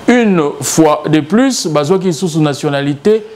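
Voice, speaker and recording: A man speaking as a guest in a political debate, halting and often searching for words. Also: fairly high pitch, animated, a little mellow. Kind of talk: political debate in French and Lingala.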